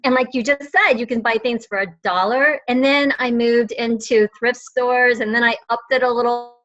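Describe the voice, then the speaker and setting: A middle-aged woman with a high, bright voice, chatting eagerly and rambling with plenty of fillers. Her audio comes through a video call and sounds dull and lo-fi. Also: steady tone, normal speed. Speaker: guest, online reseller. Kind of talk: casual video chat.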